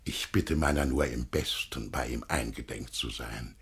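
An actor playing a zealous, fawning older churchman in a classical radio play, declaiming with unctuous swells in a gravelly, husky voice. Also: a bass voice, measured pace, a bit bright, heavily acted. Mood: fawning, sanctimonious.

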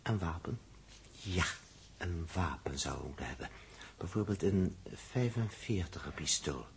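A man's voice: deep voice, animated, measured pace, vocal fry, heavily acted. An actor playing an irritable, sarcastic space crewman with an inventive streak, grumbling and thinking aloud in a 1960s Dutch radio drama. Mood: musing, eager. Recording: noisy 1960s radio recording.

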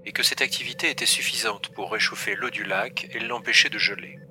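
Casting: actor as know-it-all machine guide for audio drama, very sharp bright male voice, lecturing precisely. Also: lowish voice, fairly quick, slight background noise. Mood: didactic. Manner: didactic, precise. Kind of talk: audio drama acting in French.

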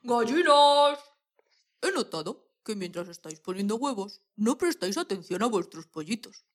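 A woman's voice: medium pitch, sing-song, moderate pace. A woman playing a crafty, persuasive farmer in a children's audio story. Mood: coaxing. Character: crafty, persuasive male farmer selling deals to hens.

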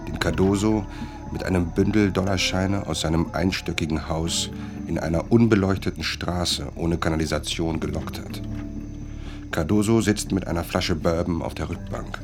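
Deep gravelly guy narrating a radio drama, brooding, measured phrases, music clearly behind him. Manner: deliberate, dramatic, short phrases. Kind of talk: scripted radio play narration.

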